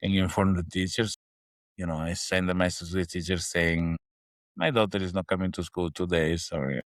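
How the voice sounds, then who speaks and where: Italian man in his fifties, low-pitched, animated storyteller, dry close sound. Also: a little fast, dry recording. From Italy. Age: 50s. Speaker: podcast co-host. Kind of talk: casual chat.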